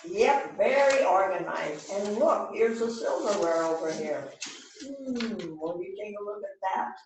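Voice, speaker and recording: A woman's voice: medium pitch, lively intonation, a little slow, a little mellow. A woman playing an eager, agreeable junior police sidekick in an amateur readers theater rehearsal, with an earnest delivery. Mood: helpful. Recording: roomy, distant mic with faint noise.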